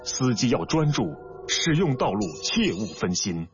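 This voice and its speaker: A man's voice: medium pitch, sing-song, measured pace. A man reads a road safety announcement in an earnest, emphatic way. His voice rises and falls a lot. Faint music plays behind, and the sound is dull.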